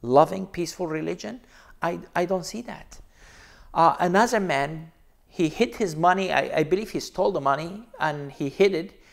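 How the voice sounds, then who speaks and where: A man's voice: fairly high pitch, normal speed, vocal fry. A middle-aged man, a native Arabic speaker with an Arabic accent, explaining emphatically to camera. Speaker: pastor. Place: small indoor room, seated.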